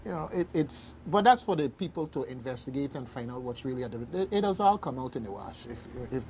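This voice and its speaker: A man hosts a serious radio discussion in a mid-pitched voice. He speaks haltingly but with emphasis, repeating phrases for weight. There is some faint noise in the recording. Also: animated. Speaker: radio talk show host. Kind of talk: hosting a serious community discussion.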